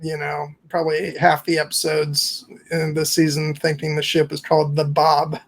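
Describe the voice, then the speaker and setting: A man's voice: fairly high pitch, monotone, moderate pace, gravelly. A man in a casual discussion, talking in a thoughtful, slightly hesitant way.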